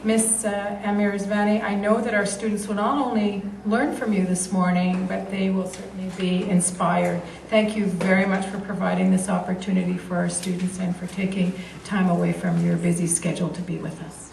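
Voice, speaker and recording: A woman school principal giving a formal welcome speech in a school library, pausing often with fillers. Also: mid-range voice, level tone, gravelly, darkish tone, a little hiss or hum. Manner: hesitant, with frequent fillers. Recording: distant, roomy school library.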